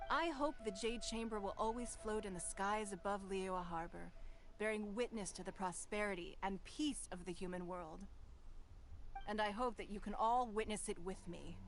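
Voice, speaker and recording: A woman voicing a poised, commanding noblewoman ruler in a video game, speaking in a measured, formal way. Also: mid-range voice, a little hiss or hum, close to an everyday voice. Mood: composed. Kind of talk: game voice acting.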